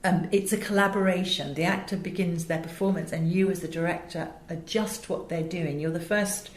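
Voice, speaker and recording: An Englishwoman speaks in a slightly low voice in a roomy, echoing space. She explains her craft as a director in articulate, conversational interview talk. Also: steady tone, moderate pace. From England. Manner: articulate, illustrates points with acted examples. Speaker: voice director and audiobook producer. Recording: roomy, echoey sound.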